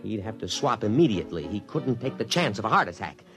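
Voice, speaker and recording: A man acting a pompous, excitable army officer in a blustering, dramatic 1950s radio drama style. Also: lowish voice, fast, faint music behind. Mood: tense, rueful narration. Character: pompous, excitable male army officer narrating his downfall.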